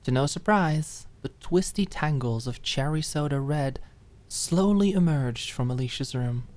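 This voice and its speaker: A woman's voice: sits low, lively intonation, unhurried, a little mellow. A woman reading scripted dramatic narration as an audiobook narrator.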